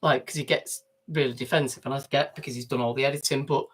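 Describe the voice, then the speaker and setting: A man's voice: medium pitch, fairly even intonation, fast talker, husky. A man with a Mancunian accent chatting easygoingly on a livestream from a narrowboat. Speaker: vlogger. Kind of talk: casual livestream chat. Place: inside a narrowboat.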